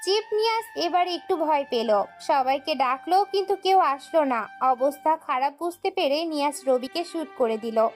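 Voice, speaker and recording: A woman with a very high voice narrates a dramatic audio story from a script. Soft music runs behind her narration. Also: lively intonation, moderate pace, hardly any pauses.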